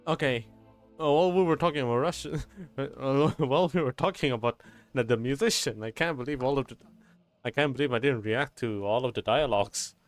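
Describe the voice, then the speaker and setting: A man streaming a game with casual live reactions, halting as he thinks aloud. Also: medium pitch, no echo. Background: faint game music.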